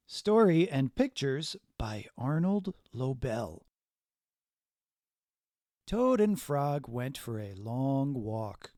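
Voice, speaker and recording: A man reading a children's story aloud as narrator, deliberately and expressively, phrased for young listeners. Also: mid-range voice, a little mellow, no room sound, clean studio sound.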